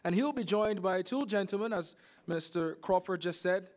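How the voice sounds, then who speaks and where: A man's voice: high voice, normal speed. A man from Barbados acting as master of ceremonies, introducing speakers at a formal event in a polished, announcing way.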